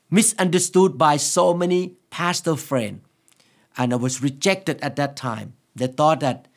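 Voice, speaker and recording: A man in his sixties from Thailand with a Thai accent, preaching to camera as a pastor in an earnest, emphatic, deliberate way. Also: medium pitch. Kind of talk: sermon to camera. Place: quiet indoor studio set. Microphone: lapel microphone.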